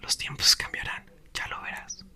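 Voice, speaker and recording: A man reading a storybook in a whisper, voicing a steady, reassuring father. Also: moderate pace, close to an everyday voice.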